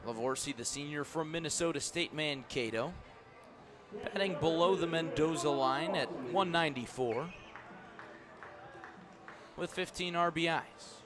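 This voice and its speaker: A man with a somewhat high-pitched voice calls a baseball game live on the radio. His play-by-play comes in crisp, clipped phrases, as a sports announcer delivers it. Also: measured pace, long pauses, over music.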